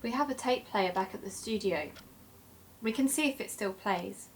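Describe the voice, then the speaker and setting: Actress doing earnest podcast investigator lady, tentative questions, mid pitch, bit of noise. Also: moderate pace, close to an everyday voice. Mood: questioning. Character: earnest female investigative podcaster, hesitant and sympathetic. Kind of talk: audio drama acting.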